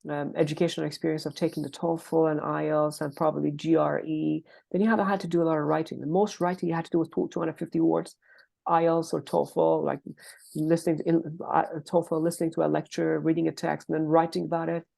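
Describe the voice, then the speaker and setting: A woman's voice: deep voice, flat delivery, fast, gravelly, warm tone. A woman professor giving explanatory interview answers, careful and hesitant, often restarting and rephrasing her words. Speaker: linguistics professor, interview guest.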